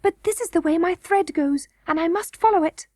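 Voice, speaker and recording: A woman's voice: extremely high pitch, brisk, dark tone, no room sound, studio quality, pitched above the performer's own voice. A woman voicing an eager, trusting little princess girl, earnest and breathless, in a children's storybook reading. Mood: confident.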